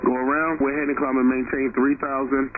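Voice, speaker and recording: A man working as a tower controller, reading procedural clearances over air traffic radio. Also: medium pitch, flat delivery, brisk. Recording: narrowband radio with faint noise.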